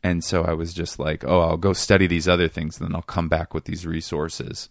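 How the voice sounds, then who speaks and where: Very low gravelly man, rambling. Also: flat delivery, a little fast, no echo, clean studio sound. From Seattle area, USA. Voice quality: gravelly, getting raspy. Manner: rambling, self-deprecating, searches for words. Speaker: comedian guest. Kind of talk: casual interview chat.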